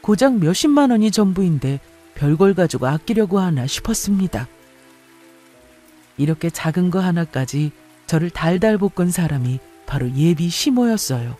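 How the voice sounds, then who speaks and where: Male radio-story narrator, mellow timbre, very animated intonation, dramatic first-person reading. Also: high voice, normal speed, faint music behind.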